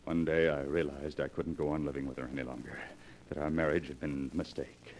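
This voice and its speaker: An actor playing a tormented, exasperated husband in a 1940s radio melodrama, brooding and earnest. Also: male voice, a bass voice, level tone, fairly quick, a little mellow. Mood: haunted, brooding. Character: tormented, exasperated husband recounting his nightmare marriage. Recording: noisy vintage 1940s radio recording.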